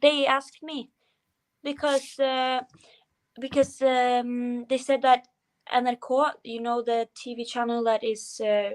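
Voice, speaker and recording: A woman's voice: fairly high pitch, little pitch movement, slow pace. A woman in her twenties with a Norwegian accent, a guest singer in a casual interview, chatty and giddy with lots of fillers. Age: 20s.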